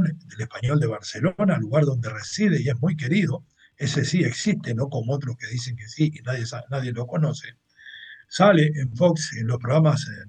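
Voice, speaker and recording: A man's voice: medium pitch, darkish tone, husky. A man with a Mexican accent hosting a football show, chatting through upcoming matches and giving blunt opinions in a casual, talky way. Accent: Mexican Spanish. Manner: chatty, opinionated, translating clips. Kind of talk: casual sports commentary monologue.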